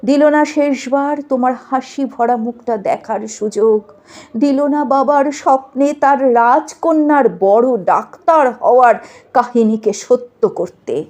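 A woman's voice: high-pitched, measured pace, a little mellow. A woman reciting as the ghost of a murdered young woman doctor, speaking to her mother in an anguished, tearful, pleading way. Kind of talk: dramatic recitation.

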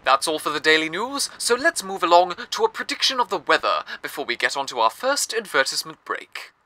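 A man's voice: fairly high pitch, sing-song, fairly quick, sharp tone. An actor playing a cheery male radio host in a rusting city, announcing news in a chatty, theatrical way with playful asides. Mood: cheerful. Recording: clear music bed underneath.

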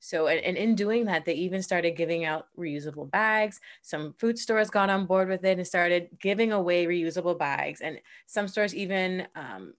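A young woman from the Bahamas, a marine conservationist, explaining earnestly in a casual interview over a video call from a room at home. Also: fairly low pitch. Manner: earnest, explanatory, quick bursts between slow stretches.

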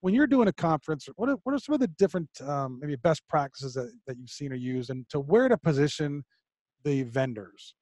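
A man's voice: on the high side, lively intonation, a little fast, a little mellow, dry recording. A man in his forties hosting a video call interview on a headset mic, musing aloud with fillers and restarts. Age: 40s. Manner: thinks aloud with fillers and repeated starts. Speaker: podcast host. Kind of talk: conversational interview. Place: home studio with a flag behind, indoors.

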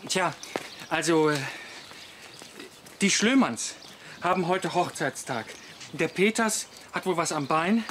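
An actor playing a hesitant, agreeable sidekick with halting speech in a German radio comedy drama. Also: male voice, fairly high pitch, fairly bright tone, slight background noise.

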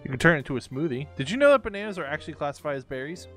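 A man's voice: on the high side, sing-song, rapid-fire, fairly bright tone. A man playing a geeky scientist in an improvised game roleplay, earnest and pedantic, with a theatrical delivery. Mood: earnest enthusiasm. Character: geeky, banana-obsessed male scientist in a game. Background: background music.

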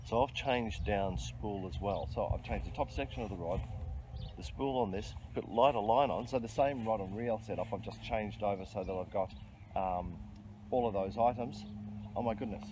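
A man's voice: on the low side, normal speed, mellow. A middle-aged man, chatting casually and explaining his fishing as he goes, with frequent ums and restarts, filmed on a riverbank by a bridge. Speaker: fishing vlogger. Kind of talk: casual outdoor fishing commentary. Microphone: handheld camera close-up. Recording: noisy outdoor riverside recording.

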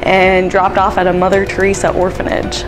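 A woman teacher answers interview questions sincerely and without much pause. Music plays clearly in the background. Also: medium pitch, monotone, poor audio. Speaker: business teacher. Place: room with brick wall, indoors.

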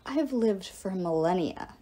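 A woman voicing an ancient undead king, a defiant villain in an audiobook, with a menacing, deliberate snarl. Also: mid-range voice, lively intonation, warm tone, voice pushed down. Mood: defiant menace.

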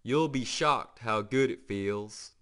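A man's voice: medium pitch, slow pace, fairly bright tone. A man voicing a friendly, folksy tow-truck deputy from a children's storybook, eager and earnest.

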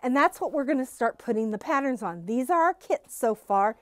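Middle-aged lady showing off new stuff in her quilt shop, high voice, super enthusiastic, dry sound. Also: animated, normal speed, no room sound. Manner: bubbly, gushing enthusiasm. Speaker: quilt shop employee giving a shop update. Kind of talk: casual shop tour walkthrough. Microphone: phone camera following her, wearing a face mask.

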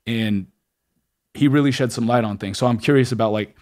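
A man's voice: medium pitch, brisk, darkish tone. Laid-back male podcast host. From Los Angeles. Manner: laid-back, enthusiastic, casual slang. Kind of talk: casual podcast interview.

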